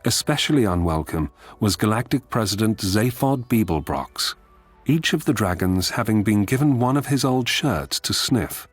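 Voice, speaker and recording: A man's voice: fairly low pitch, expressive, moderate pace, breathy edge, no echo, faint music behind. A man delivering wry, scripted comic narration like entries from a guidebook.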